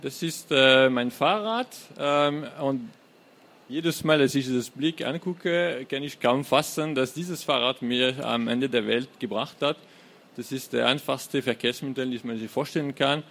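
Gravelly man with a French accent. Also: medium pitch, measured pace, a bit bright. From France. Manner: hesitant, many filler sounds. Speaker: guest author. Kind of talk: live book reading talk. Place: fair hall stage.

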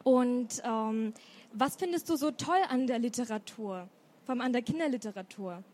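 Moderatorin, hohe Stimme, stellt im Gespräch freundlich Fragen. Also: female voice, on the high side, moderate pace, darkish tone. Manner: reads intro, asks friendly questions. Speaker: event moderator. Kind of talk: book fair interview.

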